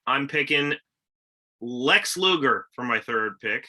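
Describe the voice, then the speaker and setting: A man's voice: mid-range voice, lively intonation, slow pace, bright, forceful tone. A man co-hosting a casual wrestling podcast, upbeat and teasing his co-host.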